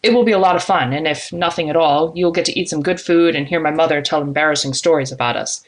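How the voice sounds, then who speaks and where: Woman voicing a low, friendly, disarming young man. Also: fast talker, rough recording. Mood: friendly, slightly nervous. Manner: calm, reassuring, casual. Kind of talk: audiobook character dialogue.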